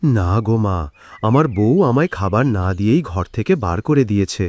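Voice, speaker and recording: A man voicing a lazy, sleepy, good-natured farmer in a cartoon moral story, with whiny, drawn-out exclamations. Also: fairly low pitch, lively intonation, a little mellow, close to an everyday voice. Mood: plaintive. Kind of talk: animated moral story voice acting.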